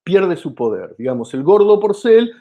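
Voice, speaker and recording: Argentine man, comedian guest, mellow tone, slightly high voice, hesitant with fillers. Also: big pitch swings, normal speed, lo-fi sound. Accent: Argentine Spanish. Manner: hesitant, many fillers and restarts. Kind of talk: explanatory interview talk.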